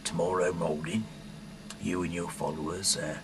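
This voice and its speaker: Casting: male, very deep voice, bumbling irreverent amateur reader, stumbles and digresses, noisy homemade sound. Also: level tone, moderate pace. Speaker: amateur reader of scripture, self-styled rock singer. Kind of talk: rambling, informal reading aloud with asides. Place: at home. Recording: noisy amateur home recording.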